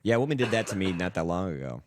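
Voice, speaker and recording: Man, thirties, podcast host, casual, joking, lowish voice, animated pitch. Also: racing, slight background noise. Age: 30s. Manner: laid-back, joking, swears casually. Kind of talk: casual chat.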